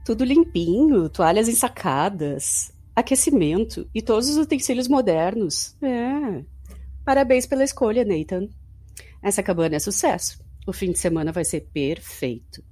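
An actress with a Brazilian accent playing a chatty, affectionate young girlfriend in an informal, expressive audio drama. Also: medium pitch, normal speed, husky. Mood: pleased. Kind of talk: audio drama acting, diary-like monologue. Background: music.

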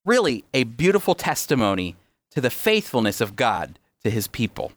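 A man's voice: medium pitch, very animated, moderate pace, no echo. A man hosting a radio program, explaining his points emphatically in a teaching style and often answering his own questions. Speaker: radio host.

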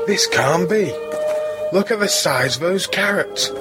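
A man playing a dramatic, curious rabbit starship captain in a sci-fi parody audio drama under loud music. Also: high-pitched, lively intonation, a little slow. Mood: amazed.

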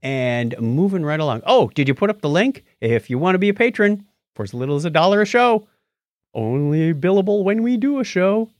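A man's voice: high-pitched, big pitch swings, fairly quick, dry recording, studio quality. A man co-hosting a casual podcast with playful, jokey banter. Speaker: podcast co-host.

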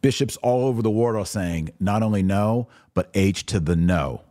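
Deep-voiced man hosting a podcast. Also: moderate pace, mellow. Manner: informal, emphatic, slangy, repeats key phrases for punch. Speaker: podcast host and commentator. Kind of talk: casual podcast commentary with self-promotion.

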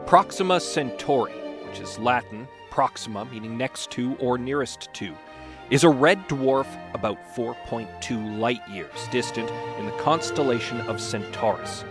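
A man performing as a solemn dramatic reader, deliberate and full of weighty pauses. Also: medium pitch, natural voice. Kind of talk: mock dramatic reading of an encyclopedia text. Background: music behind the voice.

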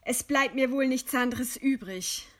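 Proud intellectual heroine, high bright voice, declamatory, scornful, slow theatrical radio drama delivery. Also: female voice. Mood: resigned. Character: defiant, proud woman intellectual facing her executioner. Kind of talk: theatrical radio play acting.

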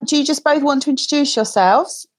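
A woman in her forties hosting a podcast, chatty and encouraging, speaking gently to a child guest. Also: high-pitched, fairly quick, no echo. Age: 40s. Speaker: podcast host. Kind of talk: warm casual interview chat.

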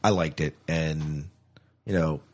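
A man's voice: extremely low pitch, slow, darkish tone. An American man hosting a podcast in a conversational style, posing questions as he talks. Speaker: podcast host.

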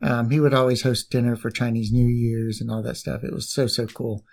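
A man's voice: medium pitch, flat delivery, brisk, gravelly, a little mellow. Casual American man hosting a podcast. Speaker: podcast host. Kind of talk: casual conversational interviewing.

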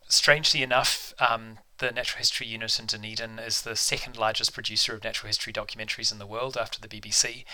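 Bright New Zealand man, chatty. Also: lowish voice, low-quality recording. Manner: self-deprecating, chatty, frequent ums and restarts. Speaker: biology professor, guest. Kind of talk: casual interview, storytelling.

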